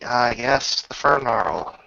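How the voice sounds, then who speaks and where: Animated man game master, slightly muffled. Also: mid-range voice, takes it slowly, vocal fry, no room sound. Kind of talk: running a tabletop roleplaying game, casual.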